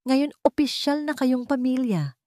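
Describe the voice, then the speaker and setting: A woman with a Filipino accent playing a repentant, gentle rich father in an audio story. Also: sits high, expressive, unhurried, no room sound, studio quality, pitched above the performer's own voice. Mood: reassuring.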